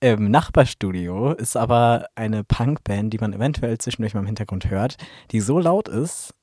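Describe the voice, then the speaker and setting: A man hosting a casual podcast, relaxed and playful, laughing often. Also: on the low side, moderate pace, no echo, clean studio sound. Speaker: podcast host. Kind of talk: casual chat. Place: small recording studio.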